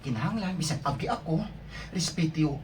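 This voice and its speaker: Woman practicing radio drama lines, lowish lively voice, bit far from mic w faint noise. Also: fairly quick. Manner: repeats lines, stumbles and restarts. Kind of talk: rehearsing radio drama lines, with casual chat.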